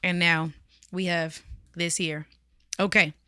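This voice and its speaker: A woman's voice: lowish voice, flat delivery, slow pace, bright, forceful tone, clean tone, dry recording. A woman streaming live from a friend's home studio, chatting casually and laughing often with playful banter. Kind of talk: casual chat on a livestream.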